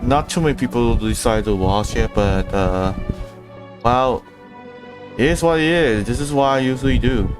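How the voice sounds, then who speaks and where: Man, mid-pitched voice, casual livestream commentary, game music clearly behind. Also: moderate pace. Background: video game music.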